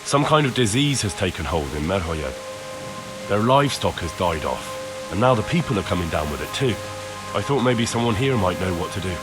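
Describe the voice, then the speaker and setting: A man's voice: low voice, big pitch swings, moderate pace. An actor playing an earnest, plain-spoken young medieval commoner in a video game, direct in his manner. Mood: urgent. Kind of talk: video game dialogue acting. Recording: music clearly behind the voice.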